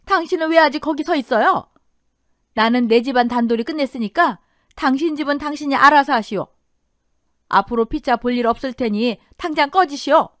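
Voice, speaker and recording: A woman's voice: on the high side, very animated, unhurried, no echo, clean studio sound. A woman voicing a fierce, vengeful older wife, biting and emphatic, in an audio drama. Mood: furious.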